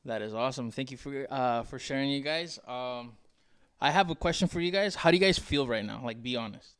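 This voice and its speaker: A man's voice: mid-range voice, brisk, a bit bright, smooth. A man hosting a podcast in a casual, conversational style, rambling and joking as he goes. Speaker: podcast host.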